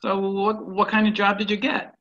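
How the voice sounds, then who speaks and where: A man's voice: high voice, completely flat delivery, moderate pace, pushed and bright. An actor playing a fussy buddy who nitpicks and stammers over his corrections, heard through muffled call audio.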